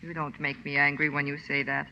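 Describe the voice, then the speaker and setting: An actress playing a proud, frightened young wife in 1940s radio drama, earnest and halting. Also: female voice, sits low, level tone, gravelly, bright, forceful tone, exaggerated voice. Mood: contrite, earnest. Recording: dull, band-limited old radio broadcast.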